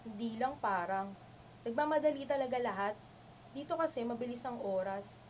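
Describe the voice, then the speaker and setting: A woman's voice: on the high side, moderate pace, a little hiss or hum, lightly acted. A woman playing a friendly, frank young city dormmate in an amateur radio drama, chatty and candid.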